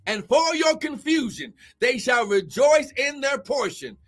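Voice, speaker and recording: High, bright, shouting preacher man. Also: very animated, measured pace. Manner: shouting, exclaiming "glory" and "oh my God"; reads scripture aloud, then riffs on it. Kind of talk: charismatic prophetic sermon.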